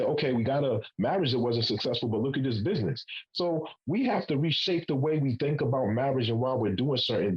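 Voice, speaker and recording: A man's voice: mid-range voice, muffled recording. A man in his forties hosting a podcast panel, making his point emphatically and swearing casually. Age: 40s. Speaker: podcast host.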